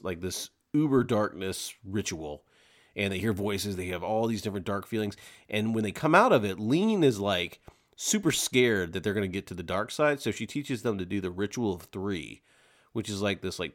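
A man co-hosting a podcast in casual discussion, retelling things in an animated way and acting out quotes. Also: lowish voice. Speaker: podcast co-host. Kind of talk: casual discussion of a fiction audiobook.

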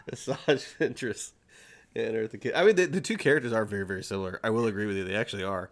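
A man hosting a fan podcast in casual chat, talking excitably and repeating words for emphasis. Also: on the low side, fast. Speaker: podcast host.

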